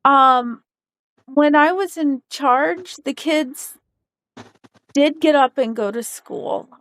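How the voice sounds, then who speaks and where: Hesitant high-voiced woman asking questions. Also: takes it slowly, no echo. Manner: calm, hesitant, probing questions. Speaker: partner on a phone call. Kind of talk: tense personal conversation.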